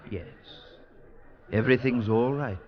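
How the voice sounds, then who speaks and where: A man's voice: medium pitch, unhurried, lightly acted. An actor playing a worried but firm male friend in a 1940s radio drama, reassuring and taking charge. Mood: urgent. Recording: dull old radio broadcast with crowd.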